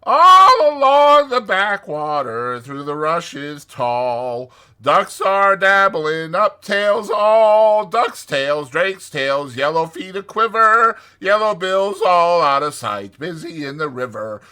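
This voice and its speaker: A man's voice: high voice, sing-song, sharp tone, smooth, pitched above the performer's own voice, heavily acted. A man voicing a cheerful, kindly water rat in a dramatized storybook, with hearty, emphatic delivery. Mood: jaunty singsong. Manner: hearty, exclamatory, drawn-out emphatic words.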